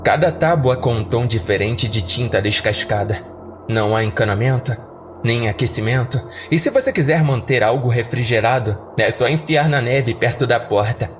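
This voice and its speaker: A man's voice: mid-range voice, normal speed, a little hiss or hum. A man with a Brazilian accent narrating a scripted first-person horror story for a podcast.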